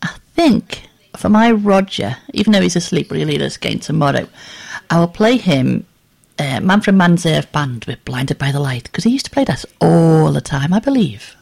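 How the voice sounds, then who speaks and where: Middle-aged female radio host, scratchy voice, low-pitched, casual rambling patter. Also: lively intonation, moderate pace, gravelly, darkish tone. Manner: chatty, rambling with ums.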